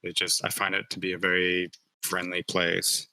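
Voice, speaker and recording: A man's voice: low-pitched, monotone, normal speed, bright, forceful tone, dry recording, poor audio. A man guesting on a casual livestream interview, joking and easygoing. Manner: joking, easygoing, self-deprecating. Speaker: guest artist.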